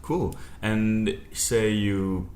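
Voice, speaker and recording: A man's voice: fairly low pitch, fairly even intonation, very slow, darkish tone. A man hosting a podcast interview, asking questions casually and laughing easily. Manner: brief back-channel replies, laughs easily. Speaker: podcast host. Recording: echoey room with faint noise.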